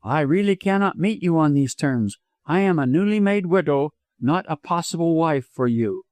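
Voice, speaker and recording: Man voicing a proud, high-pitched young widow. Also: lively intonation, normal speed, a little mellow, no room sound, lightly acted. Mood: resolute, agitated. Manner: emphatic, imperious. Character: proud, agitated young widow, wilful and quick-tempered. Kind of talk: dramatized audiobook reading.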